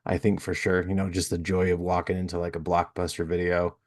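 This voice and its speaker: Male podcast host, thirties to forties, deep register, conversational, close dry recording. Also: flat delivery, fairly quick, a little mellow, no room sound. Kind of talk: casual interview chat.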